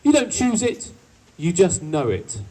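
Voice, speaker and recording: Husky speaker addressing an outdoor rally. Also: medium pitch, huge pitch swings, unhurried, warm tone, distant mic, rough recording. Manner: playful asides to the audience. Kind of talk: protest speech to a crowd. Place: outdoor town square rally. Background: faint crowd.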